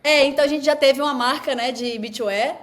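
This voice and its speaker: A woman's voice: sits high, brisk. A woman from Salvador with a Bahian accent, an actress co-hosting a podcast, playful and joking in casual chat. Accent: Bahian Brazilian Portuguese. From Salvador, Bahia, Brazil. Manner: playful, joking, laughs easily.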